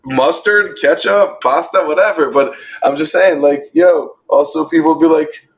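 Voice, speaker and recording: A man's voice: a little high, low-quality recording. A man in his thirties from Israel and New York, a vegan chef on a casual podcast, talking emphatically and with plenty of swearing. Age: 30s. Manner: emphatic, profane, lists things rapidly.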